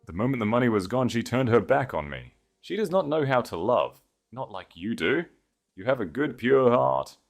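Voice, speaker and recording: A man's voice: medium pitch, big pitch swings, normal speed, vocal fry, faint music behind, voice pushed up. A man voicing a weak, self-pitying male aristocrat in an audiobook, pleading and overwrought. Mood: desperate pleading.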